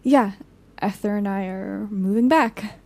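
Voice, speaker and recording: A woman's voice: mid-range voice, measured pace, gravelly, noisy background. An actress playing a weary, frank young woman, a supportive friend, in casual audio drama dialogue. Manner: casual, candid.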